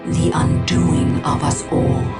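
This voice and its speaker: A proud alien prophetess of a video game speaks slowly and with solemn weight. Her words come measured and cryptic, full of command and foreboding. Loud cinematic music swells behind her. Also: deep voice, flat delivery. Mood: cryptic, grave. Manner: grave, portentous, measured. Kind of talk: dramatic video game cutscene acting.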